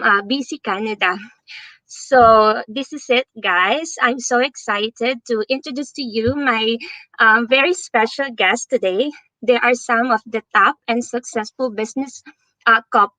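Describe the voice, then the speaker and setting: A woman's voice: fairly high pitch, pushed and bright, no echo, low-quality recording. A woman hosting a livestream interview, upbeat and effusive, with many fillers.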